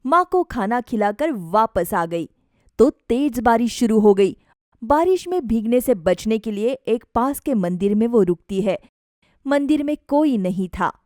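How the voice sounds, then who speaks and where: High-pitched woman narrating a moral story. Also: expressive, measured pace, darkish tone, no echo, studio quality.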